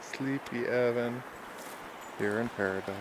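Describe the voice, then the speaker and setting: A man's voice: mid-range voice, unhurried, background noise. Chatty man narrating a mountain hike. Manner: chatty, easygoing, wry. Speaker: backpacker filming his trip. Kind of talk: casual trail vlog narration. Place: outdoors on a mountain trail by lakes.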